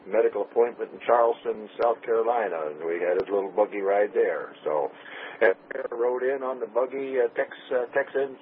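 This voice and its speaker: Man, lowish voice, stumbling and halting, tinny radio transmission. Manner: stumbling, repeated restarts and fillers. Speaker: radio net caller. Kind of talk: casual radio check-in. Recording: narrow radio transmission with hiss.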